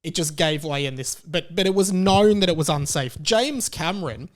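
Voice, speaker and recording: A man's voice: on the high side, expressive, normal speed. A man hosting a podcast, giving casual opinion commentary in a conversational, rambling and emphatic way. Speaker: podcast host.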